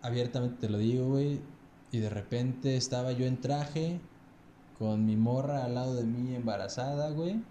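A man's voice: medium pitch, normal speed, dark tone, reverberant, a little hiss or hum. A Mexican man in his thirties telling his own story in a loose podcast chat, full of crude slang, tagging "güey" onto nearly every phrase. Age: 30s. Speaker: podcast guest.

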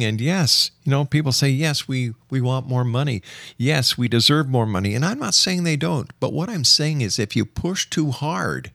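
A man's voice: lowish voice, a bit bright, no room sound, clean studio sound. A man hosting a radio interview in a conversational way. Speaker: radio talk show host.

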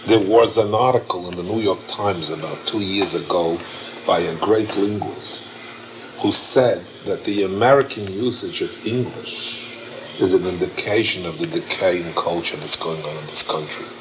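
Low-voiced male teacher for a casual q&a, emphatic, repeats himself, noisy room. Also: normal speed. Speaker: teacher answering questions. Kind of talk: informal Q&A lecture.

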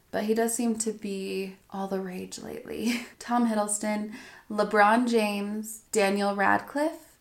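A woman talking directly to her viewers in a gentle, soft voice that often drops near a whisper, sounding a little stuffy from allergies. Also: medium pitch, echoey room. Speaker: astrology vlogger. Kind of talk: reflective spoken monologue to viewers.

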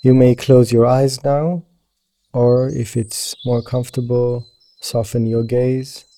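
A man leading a guided meditation as a teacher, speaking in a gentle, soothing, unhurried manner. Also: medium pitch, little pitch movement. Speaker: meditation teacher guiding yoga nidra.

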